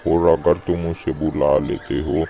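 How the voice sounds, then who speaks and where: A man as a very deep, gravelly old lion king. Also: little pitch movement, unhurried, mellow, heavily acted. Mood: calmly persuasive. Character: wise, wily old male lion king. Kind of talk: Hindi animated moral story dialogue. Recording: faint background noise.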